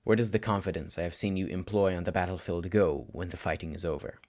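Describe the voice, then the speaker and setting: A man voicing a weary, blunt but caring male teacher, calm, quiet and terse, in a story reading. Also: low-pitched, fast talker, voice pushed down, natural voice. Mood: concerned, probing.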